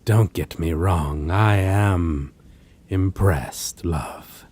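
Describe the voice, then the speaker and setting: A man playing a possessive, menacing vampire in a dramatic audio roleplay, speaking in a theatrical, condescending manner with a husky, breathy voice. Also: low voice, a little mellow, exaggerated voice. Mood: condescending, taunting. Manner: slow, theatrical, archaic wording, condescending endearments. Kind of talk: dramatic audio roleplay acting addressed to listener.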